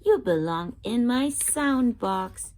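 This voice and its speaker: Woman reading as a slow-spoken, earnest little storybook boy. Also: a little high, animated, a little mellow. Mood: kindly. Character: kindly, helpful little boy storybook character.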